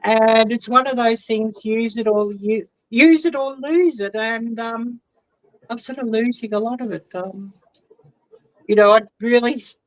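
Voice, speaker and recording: Casting: woman, guest on a video call, slow and halting, dry close sound. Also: a little high, steady tone, no echo, rough recording. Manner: hesitant, many ums, soft trailing. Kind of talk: casual video call chat.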